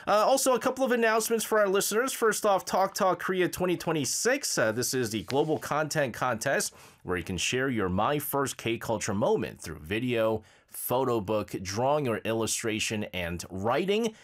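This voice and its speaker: Lively, slightly nasal male radio host. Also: mid-range voice, normal speed. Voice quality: a bit nasal. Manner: energetic, bouncy delivery with many fillers. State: has a slight cold, drowsy. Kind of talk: upbeat radio show announcements.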